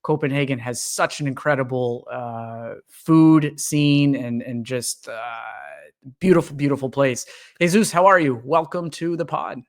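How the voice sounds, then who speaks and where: A man hosting a fan podcast, welcoming a guest in a relaxed, filler-heavy way. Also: mid-range voice, measured pace. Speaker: podcast host.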